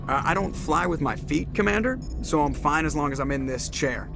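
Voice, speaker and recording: An actor playing a cocky, defensive young starship pilot in a video game, informal and boastful. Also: male voice, fairly high pitch, lively intonation, a little fast, gravelly, over music. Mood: wry, cocky.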